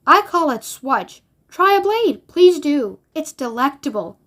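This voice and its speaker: A woman plays an eccentric chocolate maker from a storybook, pitched high. He is exuberant and boastful, showing off his wonders with theatrical, excitable flourishes. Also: unhurried, exaggerated voice. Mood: gleeful, boastful.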